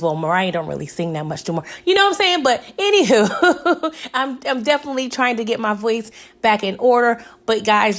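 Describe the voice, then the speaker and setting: A young woman with a raspy, hoarse voice, chatting casually through a TV episode recap and often asking "okay?". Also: a little high. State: hoarse from recent illness. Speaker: TV recap vlogger.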